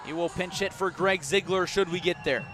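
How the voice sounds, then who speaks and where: A man with a notably high voice and an American accent delivers live baseball radio commentary. He builds drama with short, clipped phrases. Faint music drifts in behind him from the ballpark. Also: normal speed, crowd noise. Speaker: baseball play-by-play announcer.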